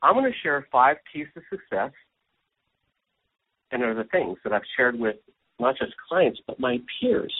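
A man's voice: medium pitch, huge pitch swings, unhurried, long pauses. A man in his forties from Hawaii, a business strategist speaking as a guest in a casual interview from home, chatty and self-deprecating. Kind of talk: casual talk show interview. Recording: phone-like audio.

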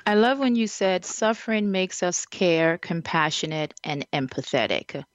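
A woman hosting a conversational interview, speaking earnestly with a halting delivery. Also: lowish voice, dry recording. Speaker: interviewer, show host. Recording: slightly dull lo-fi audio.

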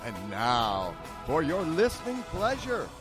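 Lively male radio announcer. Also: a little high, slow. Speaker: show announcer. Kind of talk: scripted radio intro. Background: music.

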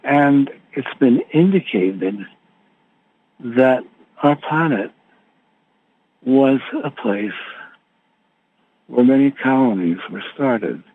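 A man hosting a spiritual conference call, rambling informally and haltingly as he searches for his words. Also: medium pitch, slightly rough. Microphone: telephone conference call. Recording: tinny phone line with background hiss.

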